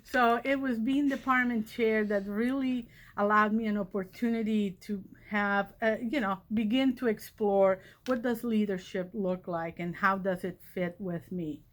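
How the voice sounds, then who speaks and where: A woman's voice: mid-range voice, fairly even intonation, unhurried, hardly any pauses. Woman telling her story. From Catalonia, Spain. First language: Catalan. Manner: reflective, conversational, many fillers. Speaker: college vice president of instruction. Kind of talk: personal origin story talk.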